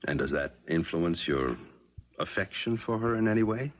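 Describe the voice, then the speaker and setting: An actor playing a genial but probing middle-aged wealthy father in a 1970s radio drama, urbane and deliberate. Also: low-pitched, expressive. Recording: dull 1970s radio recording.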